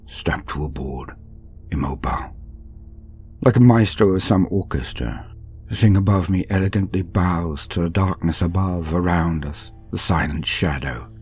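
A man voicing a weary, haunted captive laborer from a grim sci-fi future, in brooding, measured, dramatic horror-story narration. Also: a little hiss or hum. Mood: hopeless, resigned, then awed dread. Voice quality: low, husky. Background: music.

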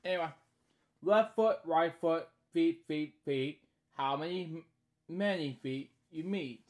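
A man in his thirties with a somewhat higher-pitched voice reads a children's book at home. He delivers it in short, halting phrases. Between them he drops casual, repetitive asides as the host of a homemade story series. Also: darkish tone. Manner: halting, repeating stock phrases between short read lines. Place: small indoor room at home.

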